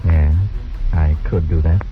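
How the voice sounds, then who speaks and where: An actor playing a bitter, jealous croupier and disgraced doctor, terse and menacing, with an American accent, in old radio drama style. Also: male voice, a bass voice, flat delivery, takes it slowly, faint music behind. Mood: veiled threat. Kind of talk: 1940s Western radio drama acting. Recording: tinny, narrow old 1940s radio recording.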